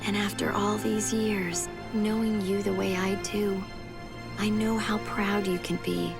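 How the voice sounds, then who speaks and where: Tender patient mom in a game, soft soothing manner, talks slow, big music behind her. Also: medium pitch, little pitch movement, vocal fry. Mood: tender, understanding. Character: gentle, patient young mother and faithful waiting wife. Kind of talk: dramatic video game cutscene voice acting. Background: loud background music.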